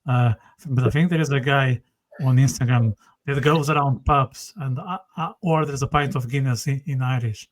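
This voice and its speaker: A man speaks English as an on a podcast. He is thoughtful and hesitant, and he often repeats words as he searches for what to say. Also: mid-range voice. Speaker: podcast host. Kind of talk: casual podcast chat.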